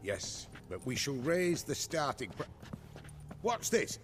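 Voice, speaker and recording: A man's voice: medium pitch, big pitch swings, slow, over music. An actor playing a pompous, easily alarmed auctioneer in a video game, declaiming his words. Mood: startled, alarmed.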